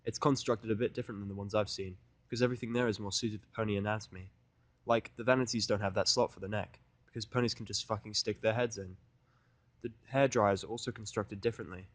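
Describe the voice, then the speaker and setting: A man's voice: lowish voice, steady tone, quick pace, dry recording. A man reading a first-person fan fiction audiodrama in a conversational, blunt, sardonic and profane manner. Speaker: fan fiction reader and narrator.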